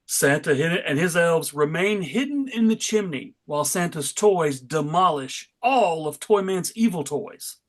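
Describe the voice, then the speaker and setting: A man's voice: fairly high pitch, animated, measured pace, few pauses. A man hosting and narrating a comic book table read in a dramatic storytelling style. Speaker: narrator and host.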